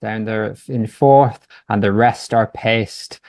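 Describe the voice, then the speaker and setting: A man's voice: on the low side, fairly even intonation, slow, darkish tone. A man hosting a casual podcast panel, chatty and upbeat as he lays out the rules. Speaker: podcast host.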